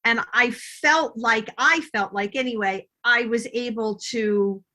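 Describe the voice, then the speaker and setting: A middle-aged woman speaks as an interview guest in a conversational style. Her voice is very bright and forward, and slightly higher than average. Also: a little slow. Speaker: podcast guest, novelist.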